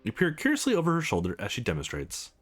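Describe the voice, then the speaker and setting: Animated man reading a game aloud over music. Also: mid-range voice, fast talker. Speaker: let's play host reading a visual novel aloud. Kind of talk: expressive read-aloud of game narration and dialogue. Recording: music playing behind the voice.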